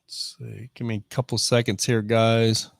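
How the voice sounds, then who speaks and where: A man's voice: mid-range voice, flat delivery, moderate pace, creaky, no room sound. Chatty man in his fifties, dry sound. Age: 50s. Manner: chatty, reads out viewer comments. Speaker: live stream host. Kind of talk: casual live-stream chat. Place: van in the rain.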